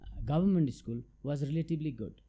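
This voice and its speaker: A man's voice: a little high, animated, fairly quick, dark tone. A middle-aged man from Nepal, a tax adviser answering interview questions in a thoughtful, hesitant way with many fillers. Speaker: guest, chartered tax adviser.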